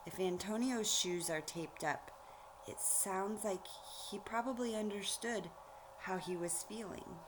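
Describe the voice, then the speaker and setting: A woman reading a storybook aloud like a teacher, pausing to explain things directly to young listeners. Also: mid-range voice, background noise. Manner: explanatory, addresses children directly.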